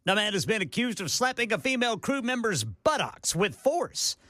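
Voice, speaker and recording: A man co-hosting a talk radio show, reading odd news stories aloud in an animated way. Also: sits high, normal speed, no echo, studio quality. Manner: animated, puts on voices for quotes. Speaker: co-host.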